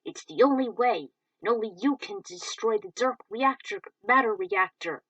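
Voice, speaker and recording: A woman's voice: fairly high pitch, expressive, normal speed, a bit bright, dry recording, lo-fi sound. A woman voicing a bold adult male racing hero who gives commands and urges on his partner, recorded dry and a little far from the mic. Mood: commanding.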